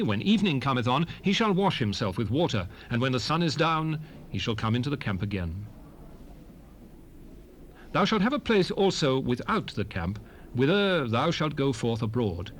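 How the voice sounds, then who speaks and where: A man's voice: medium pitch, close to an everyday voice. Solemn lawgiver played by a male actor for Bible drama: declamatory, wide-ranging intonation, faintly hissy old cassette sound. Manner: declamatory, deliberate, archaic diction. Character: solemn lawgiver proclaiming ancient commandments. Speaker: narrator reading scripture. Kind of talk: dramatized King James Bible reading. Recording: hissy 1978 cassette rip.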